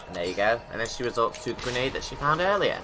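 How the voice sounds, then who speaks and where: A man's voice: mid-range voice, very animated, fast, noisy background. A man giving casual, rambling creator commentary on his game movie, often interrupting himself. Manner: informal, rambling, self-interrupting.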